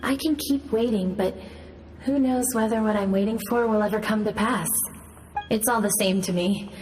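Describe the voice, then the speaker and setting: An actress playing a patient, resigned woman in a video game, gentle and melancholy. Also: mid-range voice, normal speed, a little hiss or hum, natural voice, rough recording. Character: patient, resigned woman long waiting for someone.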